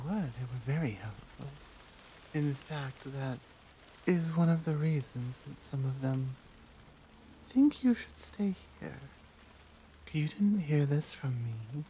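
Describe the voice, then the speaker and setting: A gentle tigress warrior monk played by a woman speaks in a mellow, medium-low voice for an ASMR roleplay. She is earnest and conflicted, taking her time with hesitant pauses, over audible background ambience. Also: slight background noise, heavily acted. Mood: guarded, confiding. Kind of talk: soft intimate ASMR roleplay.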